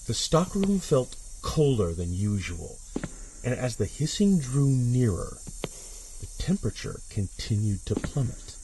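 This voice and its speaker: A man's voice: medium pitch, big pitch swings, a little hiss or hum, rough recording. A man narrating a horror story in the first person, with a dramatic, suspenseful delivery. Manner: measured, suspenseful delivery with hushed drops and intense swells.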